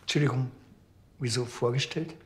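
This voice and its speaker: An actor playing a dry, grumbling village policeman in a TV crime comedy, deadpan and blunt, with a Bavarian accent. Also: medium pitch, normal speed, husky. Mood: wry, curt.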